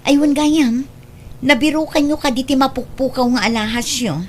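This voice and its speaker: A caring grown daughter and practical mistress of the house, as a woman acts her in a radio drama. Her voice is fairly high and she speaks as someone in charge. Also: normal speed. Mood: caring, concerned.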